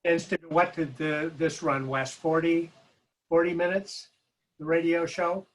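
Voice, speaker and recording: A man's voice: fairly high pitch, level tone, measured pace, poor audio. A man making casual, conversational comments as a panelist.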